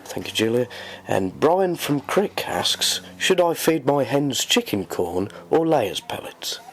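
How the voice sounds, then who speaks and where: A man's voice: mid-range voice, sing-song, slow pace, slight background noise. A man, reading scripted links and questions as a radio presenter.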